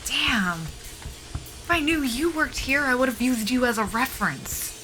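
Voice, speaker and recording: A woman's voice: high voice, lively intonation, a little slow, pushed and bright, faint music behind, exaggerated voice, poor audio. An actress playing a wry, down-on-her-luck young military pilot in a naturalistic audio drama. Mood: playful, surprised.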